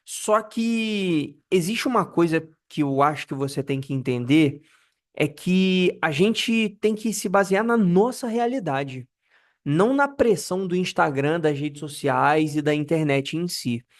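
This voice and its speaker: A man's voice: lively intonation, measured pace. A man in his early thirties from Brazil talking straight to camera about personal finance, candid and self-deprecating, often stopping to search for words. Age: 30s. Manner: pitch rises and falls widely for emphasis. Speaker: personal finance video host. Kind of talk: casual monologue to camera.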